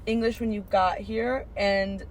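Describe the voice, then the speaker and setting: A woman's voice: medium pitch, fairly even intonation, a little slow, a little hiss or hum. A woman in her late teens co-hosting a student podcast and asking questions casually. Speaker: podcast co-host, student editor.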